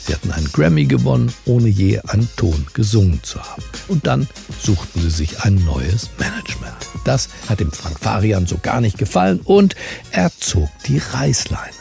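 A man hosting a morning briefing, narrating from a script in a formal, wry, measured way. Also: fairly low pitch, very animated, gravelly, mellow, soft background music. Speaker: podcast host.

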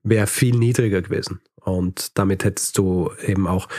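A man's voice: low voice, steady tone, normal speed, a little mellow, dry recording, clean studio sound. A man telling a story as a podcast host in a casual, conversational and slightly hesitant way, with small chuckling asides.

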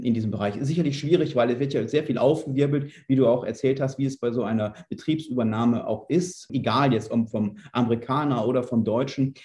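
A man's voice: mid-range voice, warm tone, roomy sound. A man answering as a podcast guest in a conversational interview style. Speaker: podcast guest, managing director.

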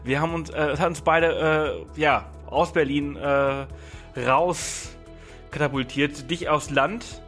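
A man's voice: on the high side, takes it slowly, a bit bright, soft background music. A man hosting a podcast, chatting casually with his guest, with many fillers and restarts. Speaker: podcast host. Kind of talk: casual interview chat.

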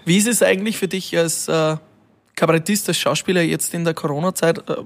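A man's voice: fairly high pitch, steady tone, a little fast, slight background noise. A man hosting a casual interview, asking friendly follow-up questions. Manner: chatty, asks follow-up questions.

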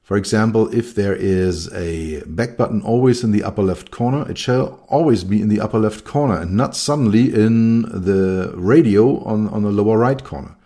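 A middle-aged man with a German accent talks through his ideas on his own. His voice sits very low and sounds mellow. He pauses, repeats words and fills gaps with ums. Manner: thoughtful, with many fillers and restarts. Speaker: consultant and keynote speaker. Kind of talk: solo talk on design.

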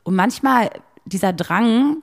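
A woman's voice: a little high, animated, slow pace, gravelly. A young adult woman chatting casually as a podcast co-host, in slangy speech sprinkled with English words. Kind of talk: casual chat.

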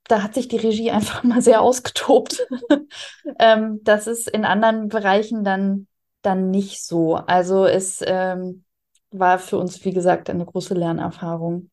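Woman, soft mellow tone, interviewed author, explanatory. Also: mid-range voice. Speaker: interviewed radio drama author. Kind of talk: interview, explaining writing craft.

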